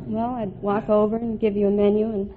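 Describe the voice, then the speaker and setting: A woman's voice: medium pitch, flat delivery, a little fast, some chatter behind. A woman as a quiz contestant, giving short, casual answers to the host. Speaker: quiz show contestant, drive-in waitress. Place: broadcast studio. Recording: tinny, faintly noisy old radio broadcast.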